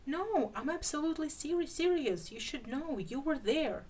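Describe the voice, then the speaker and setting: A woman's voice: sits high, lively intonation, normal speed, darkish tone, voice pushed up. A woman voicing a bewildered, insistent male FBI agent, incredulous and earnest, in a story read aloud. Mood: protesting.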